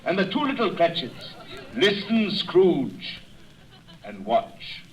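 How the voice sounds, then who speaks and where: An actor playing a solemn, watchful spirit in a radio drama, speaking in a grave, declamatory storytelling manner. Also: male voice, a little high, big pitch swings, slow, a crowd in the background. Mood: presenting. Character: solemn Ghost of Christmas Present, a watchful guiding spirit. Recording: dull, muffled radio drama recording.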